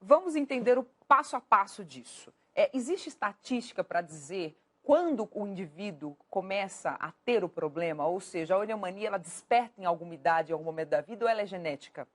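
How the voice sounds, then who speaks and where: A woman's voice: medium pitch, expressive, fairly quick, few pauses. A woman hosting a TV talk show, playful and teasing with her guests. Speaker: TV show host.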